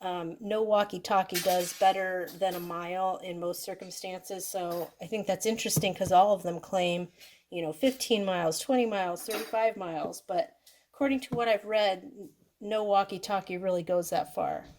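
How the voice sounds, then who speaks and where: A woman's voice: on the low side, normal speed, a little mellow. A woman giving a casual, unscripted product demonstration, explaining things step by step in a conversational way. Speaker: product reviewer. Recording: clearly noisy recording with clicks.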